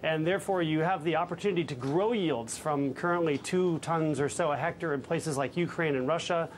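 Guest on a news link: man in his thirties, measured, lots of ums, somewhat high-pitched, faint hiss. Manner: measured, hesitant with frequent fillers. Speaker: fund manager guest. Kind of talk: live news interview. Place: office with trading desks behind.

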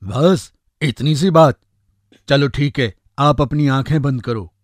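A man voicing a friendly, confident magic eggplant in an animated story, chummy and reassuring. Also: medium pitch, slow, no echo, studio quality, natural voice. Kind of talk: animated moral story voice acting.

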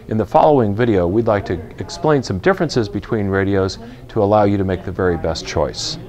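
A man in his fifties, filmed in a large indoor store with a lapel mic, explaining equipment to camera in a clear, measured, practical way. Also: lowish voice, darkish tone. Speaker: retail company spokesperson presenting boating gear.

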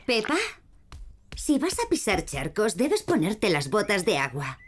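Animated mummy pig. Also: female voice, medium pitch, a little slow, music in the background. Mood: gently firm. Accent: Castilian Spanish. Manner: gently instructive. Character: caring, firm adult mother pig. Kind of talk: children's cartoon dubbing.

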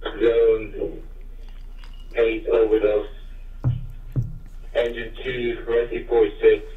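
American guy on a tinny dispatch radio, roomy and far off, flat clipped callouts. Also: on the low side, very slow. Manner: terse, procedural. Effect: two-way radio. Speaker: fire and rescue dispatcher. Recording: tinny, roomy, distant scanner radio feed.

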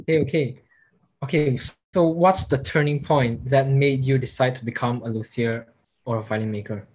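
A man's voice: mid-range voice, steady tone, normal speed, darkish tone, breathy edge, poor audio. A young man hosting an interview on a video call, reacting eagerly and asking loose questions with many fillers. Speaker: host.

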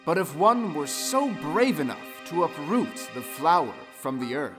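Theatrical male storyteller for folktale audio play: animated, drawn-out delivery over music. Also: on the high side. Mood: dramatic. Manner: dramatic, drawn-out emphasis. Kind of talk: scripted theatrical folktale narration. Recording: music behind the voice.

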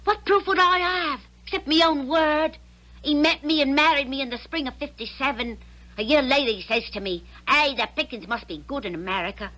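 Shrill, indignant Cockney wife. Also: female voice, a little fast, sharp tone, low-quality recording. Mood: aggrieved, pleading. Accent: London Cockney. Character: indignant, wronged working-class London wife, scheming and loud. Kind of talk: 1970s radio drama acting.

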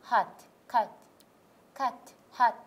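A woman's voice: moderate pace, dull audio. A polite, modest young woman taking a pronunciation lesson, repeating drills and giving short replies. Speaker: pronunciation student, radio personality. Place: meeting room, indoors.

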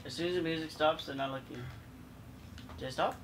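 A man with a voice worn from yelling, giving quick calls and swearing while playing a co-op shooter. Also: a little high. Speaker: co-op gaming partner. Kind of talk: casual gaming chat. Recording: noisy room recording.

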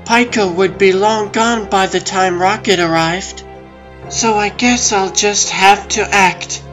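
A woman playing a concerned, resolute female otter in a comic dub, speaking earnestly and deliberately. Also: mid-range voice, music in the background, natural voice.

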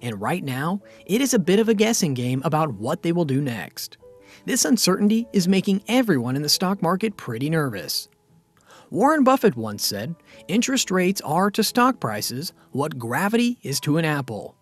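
A man narrates a scripted finance explainer in a steady, explanatory manner. His voice sits a little high and moves through a lively range of pitch. Soft music plays quietly underneath. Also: moderate pace.

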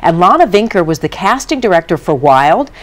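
A woman's voice: on the low side, big pitch swings, brisk. A woman hosting a live TV news show, interviewing guests in a studio. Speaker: TV news host.

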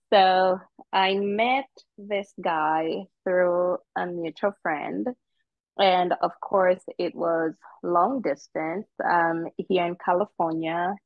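A middle-aged woman from Zambia tells her story very slowly and earnestly, pausing on ums. Her voice sits fairly low and sounds dull and lo-fi, dry, recorded on a phone outdoors. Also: fairly even intonation, no room sound. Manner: unhurried, earnest, with many ums. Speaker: guest. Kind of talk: personal storytelling. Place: outdoors on a footbridge.

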